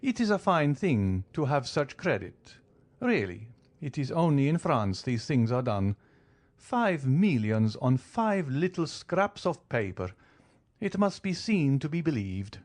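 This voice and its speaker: A man's voice: medium pitch, very animated, measured pace, darkish tone, voice pushed up. A man doing a suave, ironic wealthy count. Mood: amused, flattering. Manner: polished, teasing, formal.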